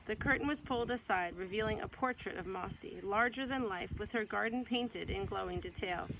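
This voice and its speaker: A woman reading a children's picture book aloud in an expressive storytelling way, stretching out some words. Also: mid-range voice, brisk, background noise.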